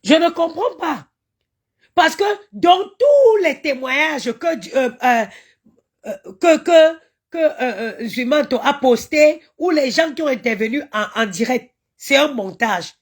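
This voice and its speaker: A woman's voice: high-pitched, animated, a little slow. A woman from Cameroon, ranting indignantly on a live stream, stammering and chanting invocations. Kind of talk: impassioned live-stream rant.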